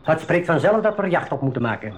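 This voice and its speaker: Measured wildlife guide, slightly high voice. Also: male voice, big pitch swings, a little hiss or hum. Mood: earnest, informative. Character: knowledgeable male reserve guide, calm and reassuring. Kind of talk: 1980s school radio drama acting.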